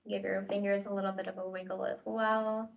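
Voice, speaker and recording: A woman facilitator leading a guided body scan meditation with gentle, tentative invitations and pauses, recorded at home. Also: mid-range voice, level tone, vocal fry, highs cut off. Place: at home, indoors. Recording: home recording, distant mic.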